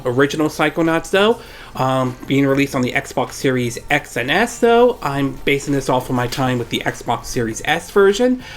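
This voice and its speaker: A man with a cold, rambling. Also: fairly high pitch. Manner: rambling, often tacking "though" onto sentences; frequent hesitant "um" fillers. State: has a cold. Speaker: video game reviewer. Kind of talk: casual spoken game review.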